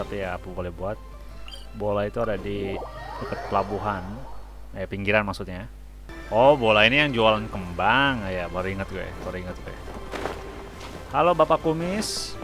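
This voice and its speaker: A man with an Indonesian accent giving casual, chatty let's-play commentary as a gaming host. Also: on the low side. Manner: slangy, chatty. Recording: game music behind the voice.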